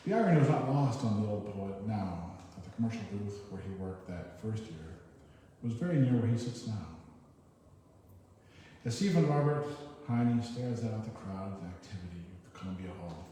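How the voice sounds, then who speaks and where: A man giving a poetry reading, with informal and somewhat halting spoken introductions. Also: lowish voice, animated, warm tone. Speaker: poetry reader at an open reading. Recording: very echoey hall, far mic, noisy.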